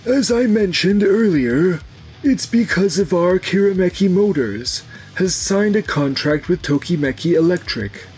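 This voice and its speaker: A man's voice: high voice, expressive, measured pace, a little mellow, breathy edge, faint music behind, exaggerated voice. A man voicing a stern, authoritative elderly grandfather in a manga dub, lecturing his family. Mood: explaining, matter-of-fact. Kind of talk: anime-style manga dub acting.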